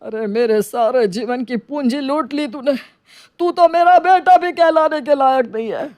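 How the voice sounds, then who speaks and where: A woman's voice: sits high, unhurried, a little mellow, no echo, studio quality, voice pushed up. A woman voicing an elderly father in a moral story, hurt and remorseful, pleading with emotion. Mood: outraged.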